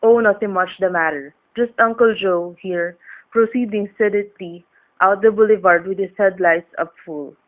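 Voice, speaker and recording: A woman's voice: medium pitch, moderate pace, lightly acted. A woman playing a cheerful young male motorcycle cop in a radio drama, folksy, joking and mock-formal. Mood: friendly, teasing. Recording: tinny, phone-like radio-play recording.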